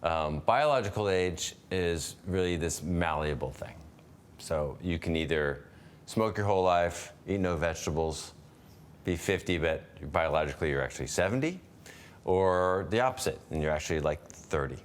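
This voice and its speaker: A man's voice: deep voice, normal speed. A man in his fifties hosting a webinar over a video call, thinking aloud with restarts and asking questions. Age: 50s. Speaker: webinar host. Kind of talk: conversational interview.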